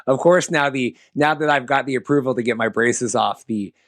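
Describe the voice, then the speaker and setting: A man co-hosts a casual sports show in an average-pitched voice. He talks in a loose, rambling, conversational way, as in friendly banter. The sound is dry, with hardly any room on it. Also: normal speed, dry recording. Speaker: sports podcast host.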